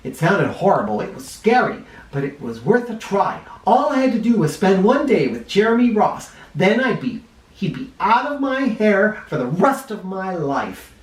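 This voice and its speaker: Man, storybook reader, lively swooping pitch, expressive emphasis, echoey room, distant mic. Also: normal speed. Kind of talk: animated picture book read-aloud. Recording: roomy echo, distant mic.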